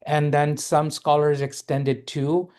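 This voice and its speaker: A man with a fairly high voice leads a reading lesson. He explains step by step in a patient, measured way. He keeps asking his students whether they follow. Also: completely flat delivery, darkish tone. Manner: patient, explaining, checks understanding with "right?" and "okay?". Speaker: Arabic teacher.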